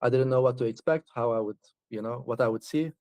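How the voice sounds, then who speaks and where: A man's voice: medium pitch, fast, darkish tone, dry recording. A man chatting casually as an interview guest, often laughing and restarting his sentences. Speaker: traveler guest.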